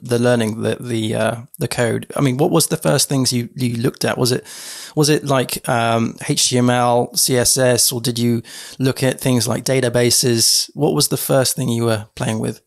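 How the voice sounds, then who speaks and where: Upbeat podcast host, gravelly edge. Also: male voice, medium pitch, steady tone, normal speed. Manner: upbeat, lots of listening murmurs. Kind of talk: casual podcast interviewing. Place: home studio room. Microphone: headset and studio mic.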